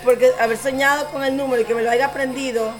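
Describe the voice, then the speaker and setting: A quick-speaking, fairly high-voiced woman chats casually with faint music behind her. She repeats words insistently as she recounts her story. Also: steady tone, low-quality recording.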